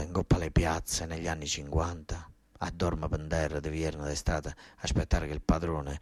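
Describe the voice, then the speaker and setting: A man's voice: low voice, dry recording, pitched below the performer's own voice. An actor playing an angry, coarse old peasant father with a southern Italian accent, in a theatrical monologue full of repetition. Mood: bitter, weary. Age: older. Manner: coarse dialect, repetitive, exclamatory.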